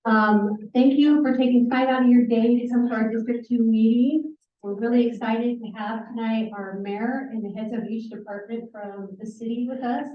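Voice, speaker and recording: A woman chairing a community meeting who says she has a loud voice, opening the evening and welcoming everyone. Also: fairly high pitch, fairly even intonation, moderate pace, a little hiss or hum. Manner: apologetic about her loud voice. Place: city hall community room. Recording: tinny, echoey, far from the mic.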